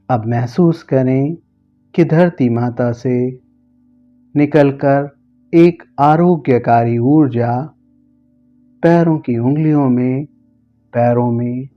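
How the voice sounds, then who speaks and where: Very slow-paced man guiding meditation. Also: mid-range voice, muffled recording. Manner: gentle instructions in short, spaced phrases. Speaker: yoga nidra guide. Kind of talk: guided relaxation meditation instruction.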